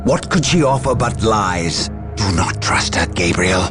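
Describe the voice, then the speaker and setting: An actor playing a hard-line, distrustful warrior in a video game, insistent and forceful. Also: male voice, low-pitched, animated, unhurried. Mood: urgent suspicion. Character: distrustful, hard-line male warrior advising his commander. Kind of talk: video game cutscene acting. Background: cinematic music.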